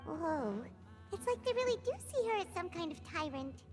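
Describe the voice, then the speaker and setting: A woman voicing a tiny childlike female fairy companion in a video game, squeaky, cutesy and earnest. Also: sing-song, normal speed, music in the background. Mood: chirpy.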